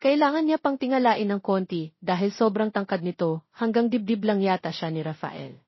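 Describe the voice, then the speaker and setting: A woman's voice: mid-range voice, lively intonation, normal speed, breathy edge. A Filipino woman reading a scripted romance story as its narrator. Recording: dull, roomy, lo-fi audio.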